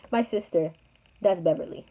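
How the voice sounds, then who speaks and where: A woman's voice: mid-range voice, breathy edge. A young woman telling a personal story to her viewers in a rambling, chatty way, full of fillers. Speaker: vlogger. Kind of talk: casual storytime. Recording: tinny phone-like sound.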